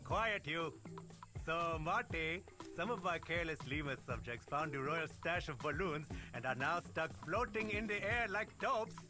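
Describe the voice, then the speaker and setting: A bossy cartoon lemur monarch. The voice sits high and swings theatrically from line to line, full of grand self-regard, with game music underneath. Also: male voice, expressive, unhurried. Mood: bossy, self-important. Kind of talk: animated video game acting.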